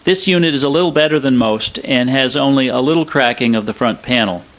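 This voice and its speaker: A man with a mid-pitched voice presents scripted technical narration. He speaks in a measured, matter-of-fact manner, explaining things step by step. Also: fairly bright tone. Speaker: presenter of a vintage radio restoration video.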